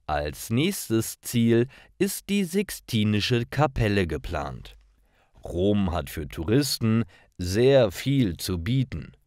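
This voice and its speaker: A man reading scripted stories for language learners in a careful, clearly phrased storytelling manner. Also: fairly low pitch, big pitch swings, slow, a little mellow, dry recording, clean studio sound. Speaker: narrator of German learner stories.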